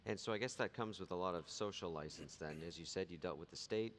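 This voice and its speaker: Low-voiced male interviewer in a hall. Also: fast talker, fairly bright tone. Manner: prompting questions with short backchannels. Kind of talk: conference interview. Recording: long hall reverb with faint noise.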